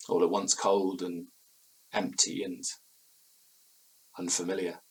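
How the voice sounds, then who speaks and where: An actor playing an anxious, haunted gentleman narrating a period ghost story in florid, formal, faltering language. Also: low voice, breathy edge, full character voice, poor audio. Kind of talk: dramatic first-person horror narration in audio drama.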